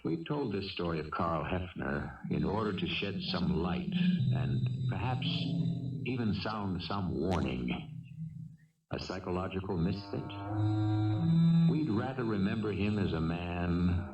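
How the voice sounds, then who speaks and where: An actor as a grave, measured storyteller narrating a crime tale in a 1970s radio drama. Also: male voice, sits low, animated, recorded from a distance. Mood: grave, somber. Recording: dull, echoey radio recording, loud music behind.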